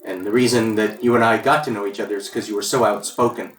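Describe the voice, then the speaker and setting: A man interviewing a guest in a soft, reflective conversational way, quietly affirming what he hears. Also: lowish voice, steady tone, fast talker, faint music behind. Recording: roomy sound from off mic, faint background noise.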